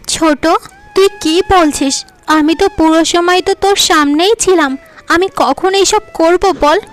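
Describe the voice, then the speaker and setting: A woman voicing a patient, kind elder wife in a village cartoon tale, speaking gently and coaxingly. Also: very high-pitched, moderate pace, voice pushed up. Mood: pleading.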